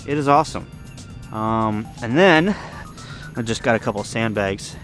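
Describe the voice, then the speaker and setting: A man's voice: lowish voice, expressive, normal speed. Man giving a casual walkthrough over music. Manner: informal, explanatory, thinking aloud. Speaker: ham radio hobbyist giving a video tour. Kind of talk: casual unscripted walkthrough of a camp setup. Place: outdoor campsite.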